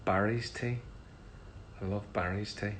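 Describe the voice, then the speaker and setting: A middle-aged man with a Northern Irish accent, casually answering fan questions in a relaxed, chatty way with frequent ums. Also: on the low side, measured pace, slight background noise. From Northern Ireland. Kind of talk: casual livestream Q&A.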